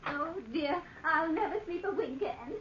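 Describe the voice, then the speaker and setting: High, fluttery, frightened lady. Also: a little slow, fairly bright tone, a little hiss or hum. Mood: fretful, timid. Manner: fluttery, anxious, exclamatory. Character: timid, fretful lady, easily frightened. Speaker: actress in a radio drama. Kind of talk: old-time radio horror acting. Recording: dull vintage radio recording.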